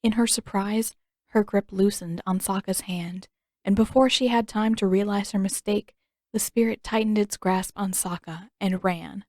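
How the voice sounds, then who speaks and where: A woman narrates a scripted adventure story in a medium-pitched voice. The recording is dry and close, like an audiobook. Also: steady tone, moderate pace, a little mellow, dry recording.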